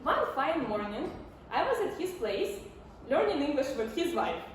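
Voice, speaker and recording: Female storyteller, 20s, Ukrainian-accented, high-pitched, addressing a live audience, recorded from a distance with slight noise. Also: very animated, moderate pace. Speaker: amateur storyteller on stage. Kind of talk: personal storytelling talk to an audience. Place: indoor stage before a community audience. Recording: echoey, distant, faintly noisy live room.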